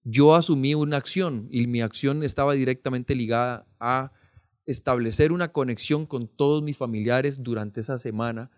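A Costa Rican man with a medium-pitched voice talks as a podcast guest. He explains in an earnest, conversational way and seeks agreement as he goes. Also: moderate pace, smooth. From Costa Rica. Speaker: podcast guest, foundation programme representative.

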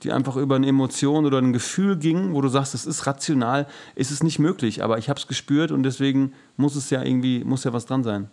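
A man's voice: medium pitch, fast, creaky, hardly any pauses, darkish tone. A man co-hosting a podcast in casual chat, earnest and slangy, often searching for his words. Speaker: podcast co-host.

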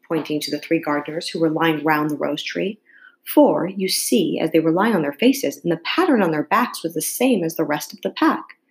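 A woman with a slightly low voice reads a children's classic as a teacher would to students. Her storybook narration is careful and expressive. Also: poor audio. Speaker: teacher reading aloud to students. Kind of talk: storybook read-aloud.

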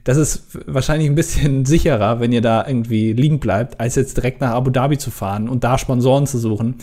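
A man's voice: mid-range voice, quick pace, warm tone. A man co-hosting a casual podcast, rambling with lots of hesitations and dry jokes. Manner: rambling, hesitant, many ums and self-interruptions, dry jokes. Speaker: podcast co-host.

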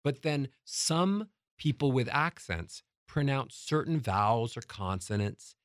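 A middle-aged man teaching as a voice coach, emphatic and demonstrating how to change loudness and pitch. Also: expressive, unhurried, no echo, studio quality. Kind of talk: motivational teaching talk.

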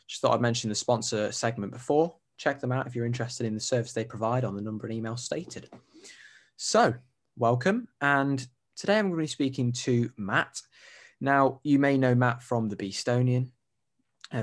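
A man hosting a casual interview podcast, introducing his guest in a relaxed, friendly way. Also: medium pitch, normal speed. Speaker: podcast host.

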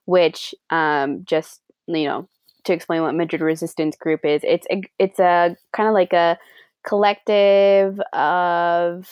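Young woman rambling excitedly on a podcast, lots of likes and ums, lowish voice, dry sound. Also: slow, clear, resonant tone, no room sound. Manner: animated, rambling, full of fillers, drops Spanish words. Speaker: podcast co-host. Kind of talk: casual chat. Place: lives in Madrid.

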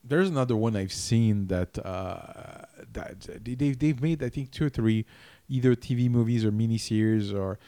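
A man's voice: mid-range voice, normal speed, few pauses, dark tone, smooth, no room sound. A man co-hosting a casual film discussion, often breaking off and restarting his sentences mid-thought. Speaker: podcast co-host.

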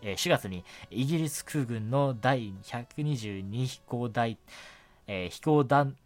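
A man's voice: medium pitch, expressive, slow pace. Guy hosting a radio segment, really up and down delivery, trips over words, music behind him. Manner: filler-heavy, stumbling over words, self-correcting. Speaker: radio show host. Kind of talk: solo radio show segment, reading listener mail and chatting. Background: background music.

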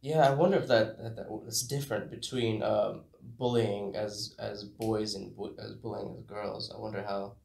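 Man, podcast host, gravelly voice, short questions, distant echoey sound. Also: fairly low pitch, steady tone, moderate pace, few pauses, darkish tone. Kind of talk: casual conversation.

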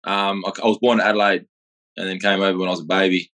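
An Australian man in his thirties tells his story in a low, even voice that barely moves up or down. He is plain-spoken and rambling, and he often restarts his sentences. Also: fast. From Australia (Perth). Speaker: guest, gym owner and boxer. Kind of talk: casual storytelling in an interview.

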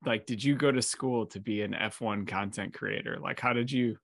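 Podcast host, halting questions, mid pitch, dry close sound. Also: gravelly, no echo. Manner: halting, repeats words while forming questions. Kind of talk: conversational interview.

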